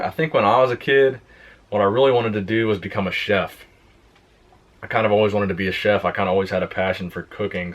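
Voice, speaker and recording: A young man on a fitness channel, casually answering viewer questions in a plain, relaxed way. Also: fairly low pitch. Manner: relaxed, plain-spoken, reads questions aloud then answers. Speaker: fitness YouTuber. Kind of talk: casual Q and A vlog.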